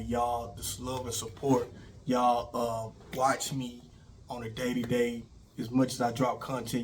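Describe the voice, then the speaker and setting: A middle-aged man who is feeling sick and slightly raspy, hosting his own channel and talking through relationship advice in a rambling, informal way with many fillers. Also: mid-range voice, a little hiss or hum. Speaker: YouTube host. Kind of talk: casual relationship advice talk.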